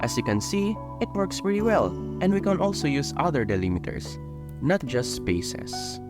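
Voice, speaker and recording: A young man with a Filipino accent hosting a software tutorial, explaining each step in a steady, methodical way. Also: on the high side, moderate pace, over loud music. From Philippines. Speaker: tutorial host. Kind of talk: screen-recorded software tutorial.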